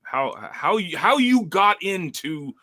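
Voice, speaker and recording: A man hosting a talk show, telling a story in an energetic way and often restarting his words. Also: high-pitched, takes it slowly, dry recording. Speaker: show host. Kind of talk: casual storytelling chat.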